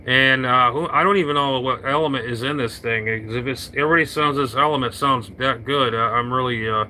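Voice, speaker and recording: A man chatting casually over his radio, rambling and halting with lots of fillers and restarts, talking into an old hand mic. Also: medium pitch, fairly even intonation, bright, forceful tone, slight background noise, low-quality recording. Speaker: radio operator. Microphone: vintage hand mic on a radio.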